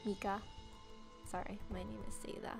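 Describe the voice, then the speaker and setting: Wry woman narrating a game, creaky voice. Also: medium pitch, little pitch movement, normal speed. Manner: wry, sardonic asides. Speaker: gaming video host. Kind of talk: casual let's-play, reading game text aloud with commentary. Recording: faint game music behind. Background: soft game music.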